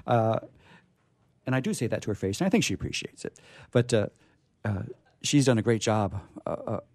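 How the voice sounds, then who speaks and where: A man's voice: on the low side, sing-song, moderate pace, a little mellow, no echo. A man hosting a radio show off the cuff, effusive, jokey and rambling. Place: radio studio.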